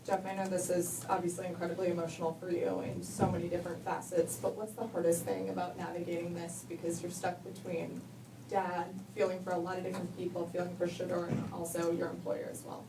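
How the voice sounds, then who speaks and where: A woman's voice: fairly low pitch, flat delivery, a little fast, creaky. A woman reporter asking a question at a press conference. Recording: distant, echoey, noisy room pickup.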